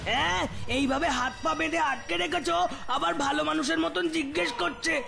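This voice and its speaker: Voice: high voice, a little fast, vocal fry, over music. A voice actor playing a cheeky, argumentative young village boy in a cartoon, bold and a little whiny. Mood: defiant, indignant. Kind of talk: exaggerated cartoon acting.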